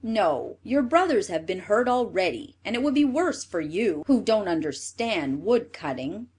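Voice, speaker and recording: Storybook father played by a woman: stern, skeptical, firm, with animated intonation. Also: medium pitch, unhurried, a little hiss or hum. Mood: stern, resigned. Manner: firm, discouraging. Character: stern, doubting father of woodcutter sons.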